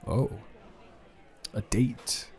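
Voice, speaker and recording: A man's voice: very slow, darkish tone, some chatter behind. A man playing a smitten, awkwardly playful friend with benefits in an improvised romantic audio roleplay, speaking hesitantly and teasingly. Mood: awkward, jealous.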